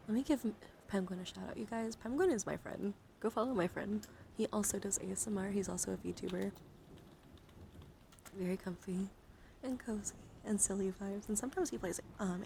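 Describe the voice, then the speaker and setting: A woman hosts an ASMR livestream in a soft, gentle voice at a medium pitch. She speaks close to the mic and often slips into whispers. Background ambient noise is clearly audible behind her. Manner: frequently drops into whispers. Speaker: livestream host. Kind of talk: soft-spoken ASMR card reading and casual stream chat.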